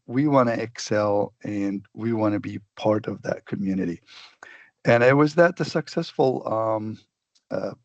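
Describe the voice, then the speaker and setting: A man speaking on a webinar panel, talking haltingly with many fillers and restarts. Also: medium pitch, dry recording, lo-fi sound. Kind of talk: unscripted remarks on a webinar. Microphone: computer mic on a video call.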